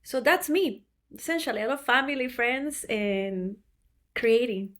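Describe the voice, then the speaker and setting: A woman's voice: on the high side, animated, a little slow, a bit bright. A woman from Ecuador, a company co-founder talking earnestly as a guest in a casual interview, stretching some words as she speaks.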